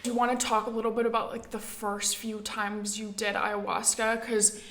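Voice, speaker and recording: A woman's voice: medium pitch, level tone, normal speed, fairly bright tone. A woman hosting an interview, asking curious questions in a chatty, friendly way. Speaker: podcast host. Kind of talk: casual interview conversation.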